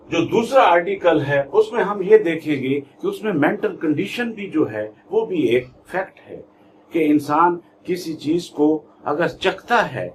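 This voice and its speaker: A man with a Pakistani accent giving an instructional lecture in a deliberate, emphatic way, repeating key terms. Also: a little high. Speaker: law lecturer, YouTube channel host. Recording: faint background noise.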